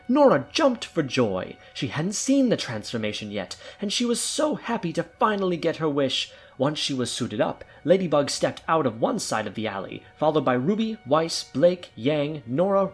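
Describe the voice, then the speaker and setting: Animated male audio drama narrator. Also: fairly high pitch, moderate pace, few pauses, faint music behind. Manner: theatrical storytelling. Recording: clear background noise and ambience.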